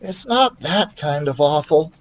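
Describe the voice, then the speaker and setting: A man's voice: fairly high pitch, lively intonation, measured pace, voice pushed up. A man voicing a kind young boy who feels ill, speaking in a listless, subdued way for a storybook.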